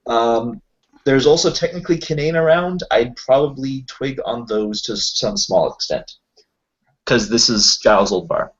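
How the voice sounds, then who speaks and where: A man's voice: mid-range voice, measured pace. A man playing a tabletop roleplaying game, explaining things in casual, rambling chat. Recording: dull lo-fi online voice call.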